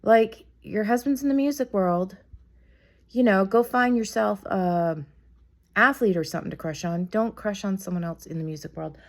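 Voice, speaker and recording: A woman with a raspy voice from being sick, chatting casually to camera in a rambling, opinionated gossip vlog. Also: mid-range voice, moderate pace. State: sick, raspy from a cold, coughing. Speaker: vlogger.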